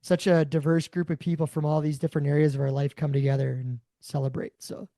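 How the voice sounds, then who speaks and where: A man with a raspy voice, co-hosting a podcast, rambling through casual chat. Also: fairly high pitch, little pitch movement, fast talker, dry recording, clean studio sound. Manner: rambling, warm storytelling. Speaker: podcast co-host.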